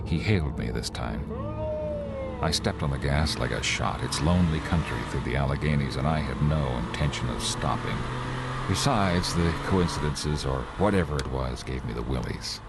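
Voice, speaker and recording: A man acting a haunted, anxious traveller in his thirties in an old-time radio play, speaking urgently and haltingly. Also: extremely low pitch, vocal fry. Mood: uneasy recounting. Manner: urgent, halting, repeating himself. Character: haunted 36-year-old male traveller, anxious, insisting he is sane. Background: music.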